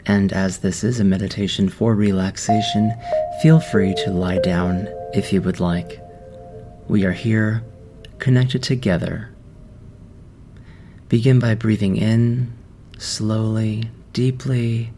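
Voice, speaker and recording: Man, meditation guide, very slow pace, lowish pitch, gentle and soothing, long pauses. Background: background music.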